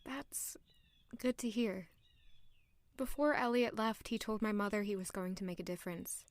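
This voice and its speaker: An actress playing a young female palace servant who is polite and deferential, speaking softly. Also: mid-range voice, moderate pace, no echo, natural voice. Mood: wistful. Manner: polite, deferential and soft-spoken. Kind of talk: audio drama acting.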